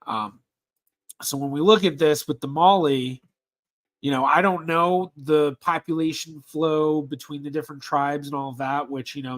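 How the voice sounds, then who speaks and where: Male host, casual stream Q&A, slightly higher voice, halting delivery full of fillers and restarts. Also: fairly bright tone. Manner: halting, many ums and restarts, reads questions aloud. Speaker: genealogist host answering viewer questions. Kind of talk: casual livestream Q&A.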